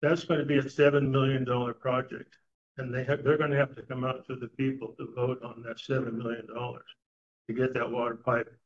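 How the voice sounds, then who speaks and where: An older man giving a public comment at a meeting, speaking haltingly with many fillers. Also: mid-range voice, little pitch movement. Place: meeting room. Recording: dull lo-fi meeting recording.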